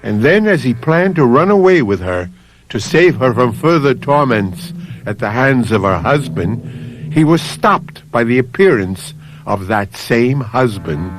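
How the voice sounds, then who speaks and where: A man hosting a radio mystery show, narrating from a script in a measured, dramatic storytelling manner. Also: mid-range voice. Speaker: host and narrator.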